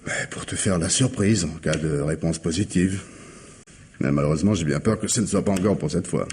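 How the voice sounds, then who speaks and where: Brooding middle-aged husband played by a man, French radio drama: low register, husky, proud, dry irony and bitterness. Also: a little fast, a little mellow, slight background noise, close to an everyday voice. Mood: guarded, sombre. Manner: bitter, guarded, ironic. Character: proud, brooding husband and former tropical forester.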